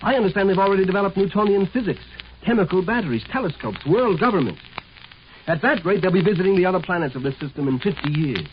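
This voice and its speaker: An actor playing a hard, sardonic imperial military marshal in a 1950s radio drama, brusque and commanding. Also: male voice, high voice, lively intonation, fast. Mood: urgent, forceful. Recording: muffled, noisy 1950s radio recording.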